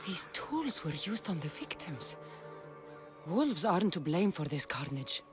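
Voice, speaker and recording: A battle-hardened female mercenary with a Greek accent. She speaks directly and resolutely, protective yet defiant, with animated intonation. Soft game music sits behind the voice. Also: fairly low pitch, unhurried. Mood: grim, troubled. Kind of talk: video game cutscene acting.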